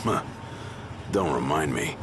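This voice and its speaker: A man playing a gruff, blunt fighter in a video game, talking casually like a tough comrade. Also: very deep voice, expressive, takes it slowly, background noise, heavily acted. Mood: wry, sceptical. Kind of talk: video game drama acting. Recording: loud music over the voice.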